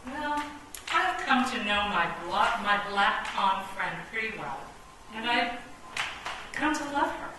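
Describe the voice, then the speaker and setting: A woman's voice: a little high, big pitch swings, takes it slowly. An actress playing a sensible, affectionate female chess piece in a theatrical radio play. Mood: tender, hesitant. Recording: echoey, distant, noisy stage recording.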